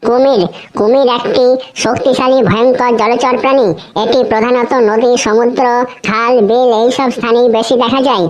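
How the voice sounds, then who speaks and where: A woman's voice: a little high, flat delivery, moderate pace, close to an everyday voice, poor audio. A woman voicing an overconfident schoolboy in a comedy cartoon, with a sing-song, recited delivery. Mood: earnest reciting. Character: cheeky, overconfident schoolboy reciting absurd crocodile essays.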